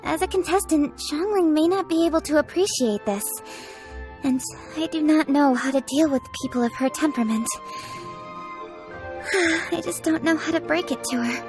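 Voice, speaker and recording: A woman's voice: sits high, normal speed, close to an everyday voice. A woman voicing a proud, conscientious young official in a video game, speaking formally and precisely with a slightly stiff manner. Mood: troubled. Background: faint game music.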